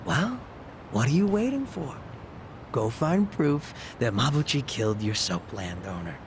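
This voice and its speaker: An actor playing a sly, mocking gang boss who makes gleeful threats in a video game dub. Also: male voice, mid-range voice, big pitch swings, unhurried, noisy background. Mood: mocking, giddy. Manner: casual profane taunting.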